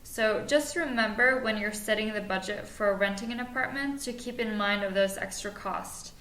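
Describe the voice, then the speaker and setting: A woman in her twenties from Norway, co-hosting a podcast and giving practical advice in a measured way with frequent fillers. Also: mid-range voice, a bit bright. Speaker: podcast co-host. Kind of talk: scripted podcast chat. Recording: echoey room, faint noise.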